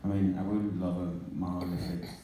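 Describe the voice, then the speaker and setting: Deep-voiced man in echoey hall. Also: little pitch movement, a little fast, warm tone, recorded from a distance, a little hiss or hum. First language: Irish. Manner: cheeky, then earnest and reflective. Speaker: panel guest, rapper. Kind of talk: live onstage panel talk. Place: large indoor venue before an audience.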